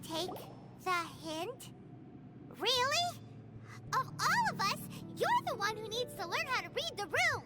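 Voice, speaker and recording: A woman's voice: extremely high pitch, sing-song, exaggerated voice. A woman voicing a chirpy, blunt little floating girl companion in a video game cutscene. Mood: indignant. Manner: bouncy, indignant, quick to retort. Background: game music.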